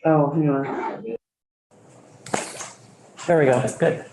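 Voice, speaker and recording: A man's voice: fairly high pitch, steady tone, extremely slow. Polite male chair in an echoey room. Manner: polite, accommodating. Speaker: committee chair. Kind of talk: chairing a committee hearing. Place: legislative committee hearing. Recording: echoey room with background noise.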